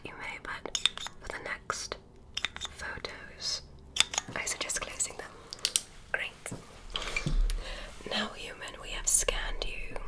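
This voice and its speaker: A woman's voice: high-pitched, exaggerated voice, rough recording. A woman whispering as a curious alien doctor. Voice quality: breathy whisper. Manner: soft, slow whispering with gentle instructions. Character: curious, polite female alien doctor examining a human. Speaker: ASMR creator. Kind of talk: ASMR medical roleplay. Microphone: close mic.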